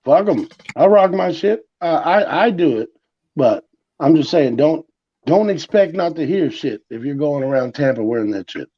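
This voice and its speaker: Cussing sports podcast guy, kinda high voice, muffled lo-fi audio. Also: expressive, normal speed. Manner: blunt, profane, emphatic. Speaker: podcast co-host. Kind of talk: casual sports chat. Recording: dull lo-fi sound.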